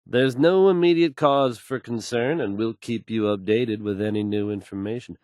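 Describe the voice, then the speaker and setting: An actor playing a confident, smooth-talking radio DJ in a game, delivering fluent and assured announcements. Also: male voice, mid-range voice, normal speed, a little mellow, dry recording, clean studio sound, lightly acted.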